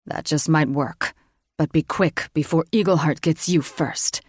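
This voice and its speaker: A woman voicing a devoted, protective young man who speaks in a low, steady, reassuring way. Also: unhurried, breathy edge, dry recording, studio quality. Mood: tender.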